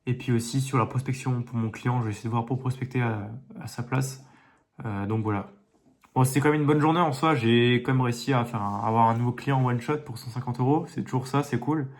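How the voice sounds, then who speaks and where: A man's voice: mid-range voice, brisk. Young male vlogger with a head cold, rambling casual diary talk, phone mic. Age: young adult. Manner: rambling, many fillers and restarts. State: congested with a cold. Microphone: phone held facing him.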